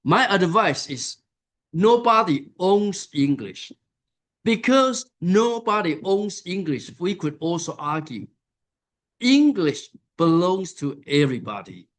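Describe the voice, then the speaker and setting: A man giving a conference lecture, unhurried and full of fillers and pauses. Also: sits high, very animated. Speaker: conference keynote speaker. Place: conference hall.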